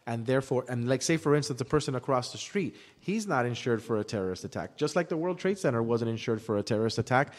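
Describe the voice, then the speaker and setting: A man argues his case conversationally, insistent and emphatic in his phrasing. His medium-pitched voice rings with a long reverb, as if in a hall. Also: animated, fast, hardly any pauses. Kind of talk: casual debate conversation. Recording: reverberant hall-like room echo.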